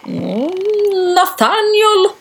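A woman playing a girl who pompously chairs a mock gentlemen's club in an audio play, speaking formally. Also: very high-pitched, very animated, very slow, gravelly, slight background noise, heavily acted. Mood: pompous, ceremonious.

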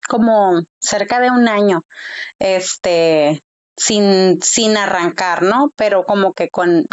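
A woman's voice: medium pitch, darkish tone, dull audio. A woman, a small business owner telling her story in a casual interview, chatty and full of fillers. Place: Tijuana.

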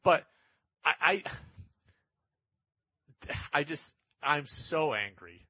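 A man's voice: fairly high pitch, lively intonation, extremely slow, bright, forceful tone, husky, no room sound, highs cut off. A man hosting sports talk radio, delivering opinionated monologues in an exasperated, emphatic, repetitive way.

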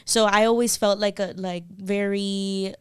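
Young woman, smooth clear voice, candid chatty stories, dry close sound. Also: mid-range voice, level tone, a little slow, no room sound. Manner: candid storytelling, lots of "like". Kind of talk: casual chat.

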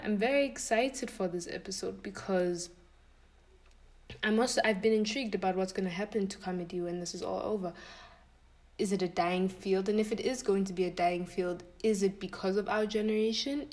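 A woman's voice: medium pitch, moderate pace, a little mellow. A young woman hosting a podcast, talking casually and thinking aloud in a string of questions. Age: young adult. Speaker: podcast host.

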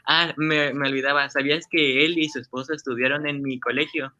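A man's voice: fairly high pitch, steady tone, fairly quick, bright, forceful tone, dull audio. A man with a Peruvian accent playing an eager, talkative schoolboy in an amateur radio drama, earnestly reciting to his parents. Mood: earnest, chatty. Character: Juancito, eager, talkative, obedient schoolboy son. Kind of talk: amateur Spanish-language radio drama acting.

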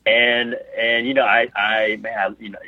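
A man as an interview guest, telling anecdotes casually and haltingly over a tinny remote call. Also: medium pitch, flat delivery, no room sound. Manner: halting, repeats phrases as he thinks aloud.

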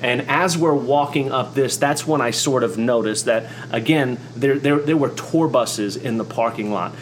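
Halting American man with faint background hum. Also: mid-range voice. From United States, born in New York, from Oklahoma. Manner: halting, repeats words. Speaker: host. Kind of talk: reflective personal storytelling monologue.

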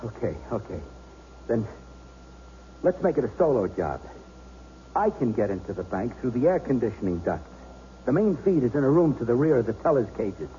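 A man's voice: medium pitch, big pitch swings, warm tone, close to an everyday voice. Actor as an agitated, vengeful policeman. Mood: grimly determined. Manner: agitated, urgent, halting speech. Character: vengeful, impatient policeman, husband of a hostage. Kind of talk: 1970s radio drama acting. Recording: dull, faintly noisy 1970s radio recording.

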